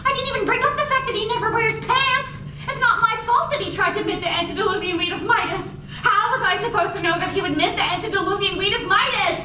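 An actress playing a fussy, pedantic, defensive devotee of a god in a comic radio play. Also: female voice, very high voice, fast talker, hardly any pauses. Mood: defensive, petulant. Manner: grandiose, wordy, turning petulant. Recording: distant echoey stage recording, noisy room ambience.

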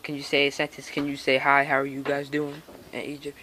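A man casually interviewing someone from behind a handheld camera on a porch, hesitant and filling pauses with "um" and "all right". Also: mid-range voice, monotone, pushed and bright, slight background noise. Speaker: interviewer filming a young skater. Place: outdoors on a house porch.

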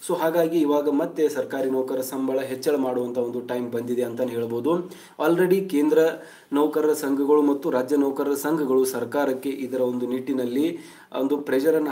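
A man's voice: mid-range voice, dark tone. A man giving a news explainer as a voice-over, reading from an article and mixing English words into his talk. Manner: reads aloud, mixing English words into Kannada. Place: voice-over over a screen recording.

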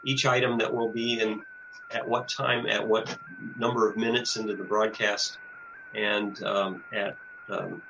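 An older American man giving an informal presentation and answering questions in a halting, hesitant way over a video call. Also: on the low side, huge pitch swings, creaky, noisy background. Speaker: presenter, radio show producer. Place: small home office with bookshelves, indoors. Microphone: computer mic on a video call. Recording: dull lo-fi video call audio.

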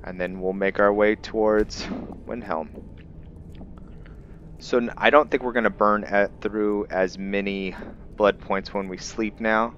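A man's voice: lowish voice, steady tone, measured pace, fairly bright tone, lo-fi sound, a little hiss or hum. A man giving casual video game commentary with some roleplay, rambling as he thinks aloud. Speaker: let's play host.

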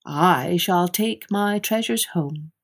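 A woman voicing a calm, stern old holy man who speaks in a measured way, with a husky, slow voice. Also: fairly low pitch.

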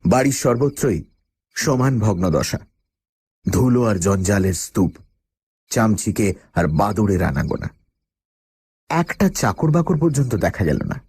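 A man's voice: on the low side, very animated, measured pace, pauses often, a little mellow, breathy edge, no room sound, full character voice. A man playing the uneasy first-person narrator of a radio horror story, telling it in a dramatic, suspenseful way. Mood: ominous, suspenseful. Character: male first-person narrator, uneasy job-seeker with a dog.

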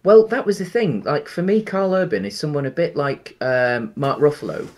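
A man's voice: on the high side, expressive, normal speed, darkish tone. Rambling man on a video call. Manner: rambling, many restarts and fillers. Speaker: podcast co-host. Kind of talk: casual film chat. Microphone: headset on a video call.

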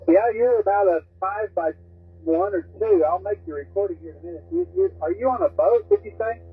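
An American man chatting casually as a radio amateur, halting and folksy, heard over a shortwave radio. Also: high-pitched, expressive, slightly rough. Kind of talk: casual ham radio chat. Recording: narrow, garbled shortwave radio reception. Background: radio transmission heard through a speaker.

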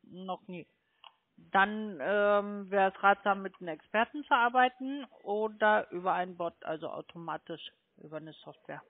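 A woman's voice: mid-range voice, moderate pace. A woman making a sales call as a telemarketer over the phone. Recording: tinny narrow phone line.

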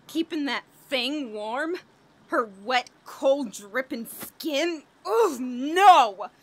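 An actress playing a frantic, haunted woman on the edge of madness in a horror radio play, halting and overwrought. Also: high-pitched, expressive, a bit bright, a little hiss or hum, exaggerated voice. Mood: frightened, revolted. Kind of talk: 1940s radio horror acting, dramatic monologue.

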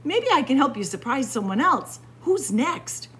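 A woman's voice: sits high, big pitch swings, normal speed, fairly bright tone, slight background noise, pitched above the performer's own voice. A woman voicing a friendly, knowing male horse in a children's storybook, matter-of-fact and obliging. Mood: knowing, helpful. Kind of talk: children's storybook character reading.

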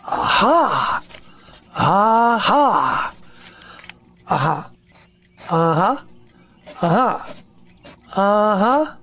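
Woman doing a dim sweet storybook bear, slow n muddled, voice wandering up and down, noisy recording. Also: a little high, full character voice. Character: slow-witted, good-natured, forgetful male toy bear. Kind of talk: audio drama of a children's storybook.

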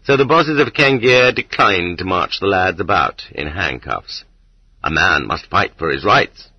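A man's voice: deep voice, lively intonation, a little slow, slightly rough, no room sound. A man reading a nonfiction audiobook as scripted narration, on a dull cassette recording. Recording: dull lo-fi cassette transfer, dry.

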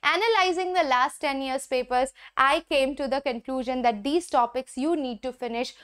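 Young Indian woman, high pitch, chemistry teacher talking to camera, emphatic and encouraging, clip-on mic. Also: drawn-out words, a bit bright, clear, resonant tone. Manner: encouraging, emphatic, mixes Hindi and English; gestures with both hands while talking. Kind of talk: exam-prep lesson to camera. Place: indoor studio before a digital whiteboard.

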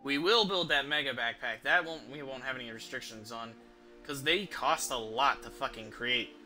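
A man in his thirties streams a game with a very bright, forward voice. He thinks aloud casually and swears freely. Game music plays behind him. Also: mid-range voice, moderate pace. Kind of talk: casual gameplay commentary. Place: indoors at home, streaming setup.

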